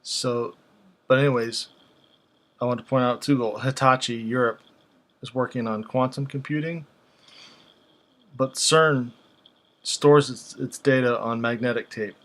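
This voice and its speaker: A man giving casual, unscripted commentary as a video host, speaking haltingly as he thinks aloud. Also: mid-range voice, steady tone, a bit bright.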